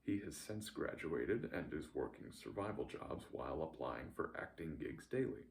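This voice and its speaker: A man reading a scripted recap as a narrator, with a dry, deadpan delivery. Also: rumbling low, moderate pace.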